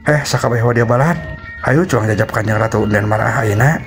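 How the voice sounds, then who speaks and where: A man's voice: on the low side, brisk, voice pushed up, close to an everyday voice. A man voicing a deferential, ceremonious queen of ant spirits in a folktale, speaking formally and humbly. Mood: commanding. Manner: formal, humble, respectful address. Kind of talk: Sundanese folktale storytelling. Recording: music behind the voice.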